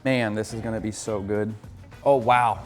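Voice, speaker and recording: A man's voice: on the low side, steady tone, normal speed, big-room sound, faint music behind. Upbeat American man coaching an aquascape build. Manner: upbeat, coaching, explains step by step. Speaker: aquarium hobbyist host. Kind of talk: casual instructional vlog.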